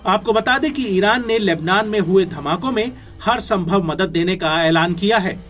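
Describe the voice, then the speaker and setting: A man's voice: high voice, normal speed, noisy background. A man with an Indian accent reading scripted news narration in an emphatic, formal newsreader delivery. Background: background music.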